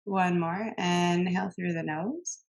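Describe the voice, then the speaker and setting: Slow, creaky-voiced woman guiding meditation. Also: lowish voice. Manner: calm, gentle, unhurried cueing with long pauses. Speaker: meditation and gentle movement instructor. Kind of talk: guided meditation, slow soothing instruction.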